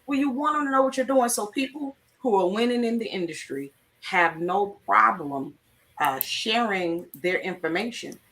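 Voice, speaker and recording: A woman's voice: mid-range voice, a bit bright, far from the mic. A woman hosting a live talk show, giving emphatic numbered tips in a teaching tone. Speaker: host. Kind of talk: motivational live-stream talk.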